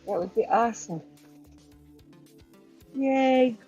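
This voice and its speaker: A woman's voice: fairly high pitch, slow pace, mellow, slightly rough, muffled recording, faint music behind. A woman co-hosting a casual chat, chatty and giggly, trailing off when she is unsure. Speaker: co-host.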